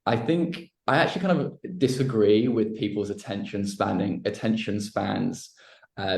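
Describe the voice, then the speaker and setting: A filmmaker explaining his project in a relaxed way, answering questions on a panel. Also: on the low side, gravelly. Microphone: video call. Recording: roomy echo on a video call.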